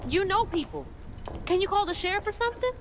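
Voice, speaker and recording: An actress playing a frightened, headstrong young granddaughter in a video game, with an American Southern accent and an urgent, emotional delivery. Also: female voice, extremely high pitch, big pitch swings, quick pace, a little hiss or hum. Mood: distressed, pleading. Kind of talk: video game cutscene acting. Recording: loud music behind the voice.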